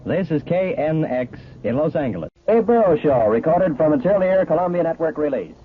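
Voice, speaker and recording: A man's voice: a little high, big pitch swings, fairly quick, background noise. A man working as a 1940s radio station announcer, reading out formal broadcast notices. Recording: tinny band-limited 1940s radio recording, faint music.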